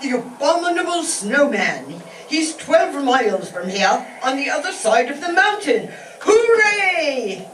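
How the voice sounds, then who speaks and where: Woman acting an excitable high-pitched porter, distant. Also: unhurried, heavily acted. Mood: excited, urgent. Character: excitable breathless native porter bringing urgent news. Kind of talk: comic radio play acting before an audience. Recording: echoey distant stage recording, faint noise.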